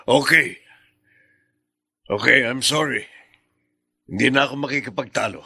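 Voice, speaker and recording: An actor playing a jealous, suspicious husband in a Filipino radio drama, with a raspy voice and Filipino accent. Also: male voice, medium pitch, measured pace, bright, forceful tone, dry recording, heavily acted. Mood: apologetic.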